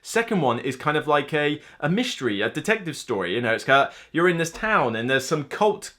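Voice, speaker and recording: A man's voice: a little high, big pitch swings, fairly quick, pushed and bright. A man in his thirties talking to camera as a board game reviewer, casual and enthusiastic, rambling with emphatic delivery. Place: indoor room lined with game shelves.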